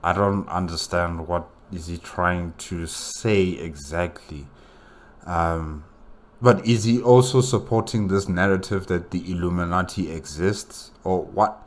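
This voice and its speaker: Very deep-voiced, slow man reacting. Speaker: reaction video host. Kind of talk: casual spoken reaction commentary.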